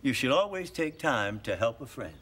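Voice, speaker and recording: Gentle grandpa, bright and lively voice. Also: male voice, medium pitch, moderate pace, slight background noise. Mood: kindly. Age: older. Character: kind grandfather, warm and encouraging.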